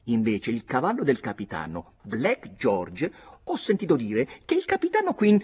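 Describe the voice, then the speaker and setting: A man voicing a teasing, haughty young girl in a storybook reading, with a husky voice and a mocking, coquettish manner. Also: mid-range voice, huge pitch swings, normal speed, a little mellow, pitched above the performer's own voice.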